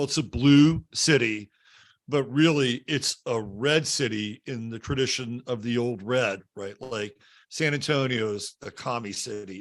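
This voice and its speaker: A man with a middle-pitched voice speaks as a podcast host. He tells personal stories in a conversational way, now and then tagging a point with a short question to check for agreement. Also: a bit bright.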